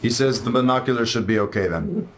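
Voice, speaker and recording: A man's voice: medium pitch, fast talker, a crowd in the background. A man playing a tabletop game, speaking bluntly and emphatically in casual character banter.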